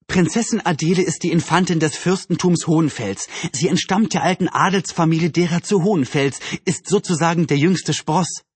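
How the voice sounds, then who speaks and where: A man voicing an excitable, earnest young girl in a children's storybook. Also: on the high side, moderate pace, few pauses, husky, no echo, voice pushed up. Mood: eager. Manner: enthusiastic, earnest, indignant when doubted. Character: eager, excitable young girl, little sister.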